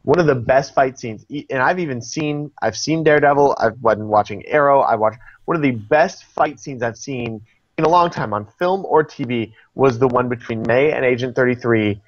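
A young man guesting on a video-call podcast, talking eagerly in quick bursts and laughing, recorded through a webcam in a small room. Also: mid-range voice, no room sound, muffled recording. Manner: animated, enthusiastic, fast bursts, laughing. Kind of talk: casual chat reviewing a TV episode.

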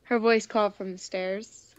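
A woman's voice: mid-range voice, steady tone, a little slow, clear, resonant tone, muffled recording. A playful, giggly woman hosting a casual gaming playthrough, chatting and reading story text aloud. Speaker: gaming video host. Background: music.

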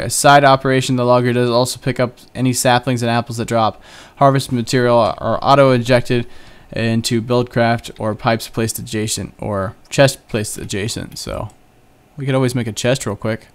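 A young man talks over his own game session in a loose, chatty way, wandering between asides and little jokes at his own expense. His voice is smooth and resonant at a middle pitch. Keyboard clicks come through from his desk. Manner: chatty, rambling, self-joking. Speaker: gaming channel host. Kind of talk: casual Let's Play commentary.

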